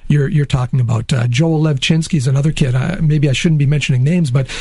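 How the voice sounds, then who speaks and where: A middle-aged man with a slightly raspy voice, an interview guest from a school, talking with many hesitations and restarts. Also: mid-range voice, quick pace, darkish tone, no echo, studio quality. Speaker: teacher at an arts-focused high school.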